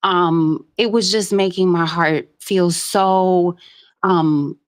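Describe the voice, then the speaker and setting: A woman's voice: on the low side, fairly even intonation, takes it slowly, smooth. Earnest rambling woman from Jamaica. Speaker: caller. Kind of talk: casual call-in commentary.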